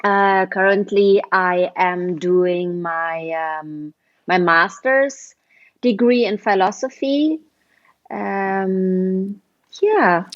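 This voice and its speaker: Slow, thoughtful forty-year-old woman. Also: medium pitch, dry recording, highs cut off. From Berlin, Germany. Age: 40s. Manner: thoughtful, with frequent hesitations. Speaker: guest, writer. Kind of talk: casual interview answers.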